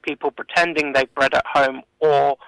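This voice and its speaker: A man giving halting, hesitant answers as a campaigner being interviewed on the radio. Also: fairly high pitch, flat delivery, gravelly, fairly bright tone, smooth, dry recording, low-quality recording. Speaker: animal welfare campaigner, interview guest. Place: radio studio.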